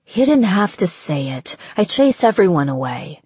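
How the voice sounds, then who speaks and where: A slightly muffled audiobook recording presents a young female star in recovery, acted by a woman narrator. She is bitter and self-pitying, with a husky edge to her voice. Her sarcasm spills into exclamations. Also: lowish voice, sing-song, moderate pace, no room sound. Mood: bitter, agitated.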